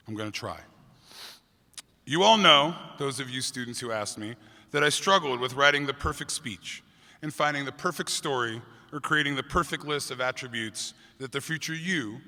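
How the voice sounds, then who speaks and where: A middle-aged man, a teacher, giving an earnest graduation speech with a booming voice and light jokes for the crowd. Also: sharp tone. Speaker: teacher giving commencement address. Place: large convention hall. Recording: long hall reverb from a PA.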